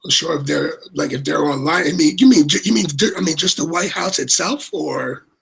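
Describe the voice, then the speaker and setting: A man with a raspy voice chatting casually as a livestream co-host, rambling and repeating his words. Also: fairly high pitch, a little fast, a bit bright. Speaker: co-host and moderator.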